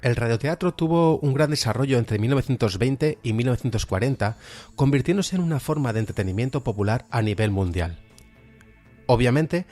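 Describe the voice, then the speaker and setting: A man with a Spain Spanish accent presenting a podcast in an explanatory, measured way. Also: medium pitch, a little mellow. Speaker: podcast host. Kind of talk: podcast presentation, semi-scripted. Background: music.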